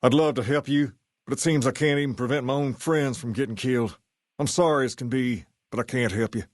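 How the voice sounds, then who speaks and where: An actor playing a suave, folksy gang leader in a video game, with a drawl and a cool swagger. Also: male voice, medium pitch, fairly quick, dry recording, studio quality, lightly acted. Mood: regretful. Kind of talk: video game dialogue acting.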